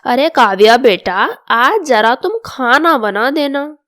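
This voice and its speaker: Woman playing a high-voiced, slow-talking bossy mother-in-law. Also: lively intonation, a bit bright, no room sound, studio quality, exaggerated voice. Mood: pleading. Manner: scolding, complaining. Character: older mother-in-law, bossy, biased, easily offended.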